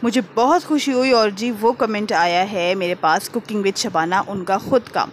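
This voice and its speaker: A woman's voice: mid-range voice, measured pace, slight background noise. A woman with an Urdu-speaking Pakistani accent, hosting a casual home vlog and chatting to her viewers while cooking. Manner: chatty and warm, filler-heavy "jī". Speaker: vlogger, channel host. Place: home kitchen and living room, indoors.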